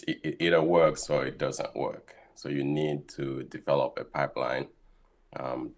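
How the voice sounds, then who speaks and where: A man, a guest scientist explaining his work steadily in a casual interview over a video call. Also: very deep voice. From Haiti. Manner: thoughtful, explains steadily with frequent ums.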